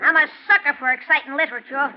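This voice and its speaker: Woman playing a high-pitched, cocky little showman. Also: steady tone, fast, close to an everyday voice. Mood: cocky, breezy. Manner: breezy, slangy showman patter. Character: cocky, brash little male vaudeville acrobat, friendly. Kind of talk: 1940s radio acting. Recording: muffled, noisy 1940s radio recording.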